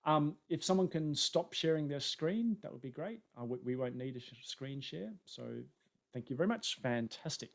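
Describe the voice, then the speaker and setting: A man's voice: fairly high pitch, expressive, normal speed. Chatty Australian man presenting a webinar. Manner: chatty, self-deprecating. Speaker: conference presenter. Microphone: webcam setup on a video call.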